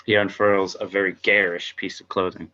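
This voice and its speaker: A man reads out stage directions as narrator of a script read-through. His voice is fairly low yet forceful and bright, captured in slightly dull, lo-fi sound. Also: flat delivery, moderate pace.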